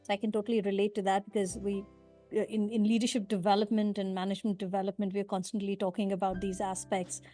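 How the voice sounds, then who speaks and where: A woman's voice: medium pitch, little pitch movement, quick pace, warm tone, faint music behind. A woman hosting a leadership podcast, asking questions in an encouraging way. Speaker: podcast host. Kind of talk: interview hosting.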